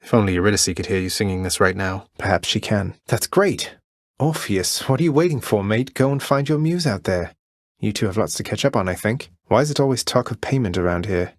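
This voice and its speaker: A man playing a young underworld prince in a video game, friendly, courteous and gently wry. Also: lowish voice, brisk, no echo, clean studio sound. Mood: earnest, upbeat.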